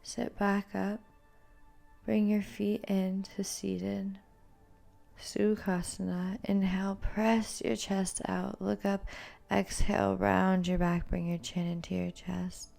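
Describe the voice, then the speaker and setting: A woman leads a yoga class in a soft-edged, mellow voice with noticeable vocal fry. Her delivery is calm and very even in pitch, each instruction gently cued. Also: takes it slowly, soft background music. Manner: calm, soothing, gently cueing. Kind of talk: guided yoga and meditation instruction.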